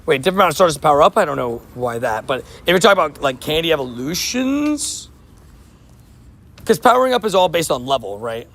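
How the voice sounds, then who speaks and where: A man live-streaming outdoors, chatting casually with viewers and answering their chat messages, with a slightly hoarse voice. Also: on the high side, big pitch swings, fast, a bit bright. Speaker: livestream host. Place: outdoors by a street with traffic.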